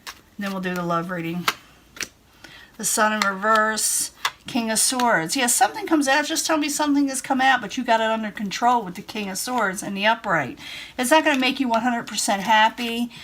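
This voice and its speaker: A woman's voice: a little high, moderate pace, a bit bright, slight background noise. Chatty woman reading tarot cards. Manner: chatty, reassuring, repeats herself. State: losing her voice. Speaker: tarot reader. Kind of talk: casual tarot reading to camera.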